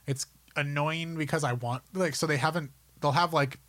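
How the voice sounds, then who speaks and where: A man's voice: fairly high pitch, normal speed, a bit bright, dry recording. Casual rambling man, close dry sound. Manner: informal, rambling, peppered with "like". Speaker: podcast co-host. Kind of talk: casual chat about films and games.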